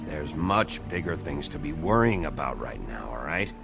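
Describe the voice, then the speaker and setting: Very low voice, growling creak, gruff weary game lawman, blunt, terse. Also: expressive, normal speed, music in the background, heavily acted. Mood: weary, businesslike. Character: gruff, weary, no-nonsense sheriff, adult man. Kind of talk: noir video game acting.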